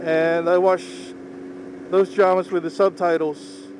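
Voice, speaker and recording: A young man whose first language is Tagalog, talking casually in a slow, halting way while driving. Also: high voice, level tone. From Philippines. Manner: slow, unhurried, halting with pauses. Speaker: vlogger. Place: inside a moving car. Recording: faint car engine hum. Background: car engine and road noise.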